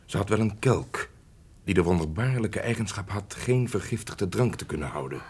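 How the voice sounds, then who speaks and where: Loyal sombre servant, low raspy voice, formal measured radio drama delivery, grave. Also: male voice, animated, darkish tone. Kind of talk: Dutch radio play acting, formal narration.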